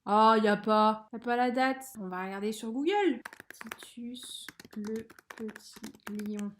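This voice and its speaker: A young woman chatting casually as a livestream host, slangy, expressive and amused. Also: fairly high pitch, a little slow, slight background noise. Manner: slangy, expressive, amused, spells out internet abbreviations. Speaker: livestream host reacting to a cartoon. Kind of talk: casual reaction chat.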